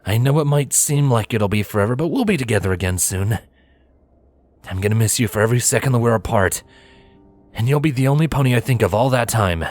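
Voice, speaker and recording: A man playing a gentle but firm pony husband in a story reading, earnest and given to sighs. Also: mid-range voice, a little fast, no echo, pitched above the performer's own voice, lightly acted. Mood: earnest resolve. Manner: soft-spoken, sighing, earnest.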